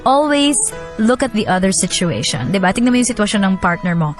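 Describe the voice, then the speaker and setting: A woman hosting a radio show in an expressive, storytelling way. Her voice sits at a middle pitch, with faint music behind her. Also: a little fast. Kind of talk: radio advice and dramatic letter reading.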